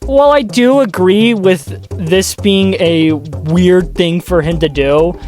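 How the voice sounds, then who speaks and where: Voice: mid-range voice, takes it slowly, darkish tone. Sardonic video commentator with music behind. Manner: sardonic, dry asides. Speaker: host of a video commentary channel. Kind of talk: casual scripted video commentary.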